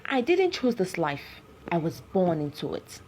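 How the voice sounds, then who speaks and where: A woman's voice: fairly low pitch, very animated, a little slow, a little mellow, a little hiss or hum. A woman voicing an anguished, vengeful cursed village man in a folktale, grave, dramatic and pleading. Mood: sorrowful, bitter.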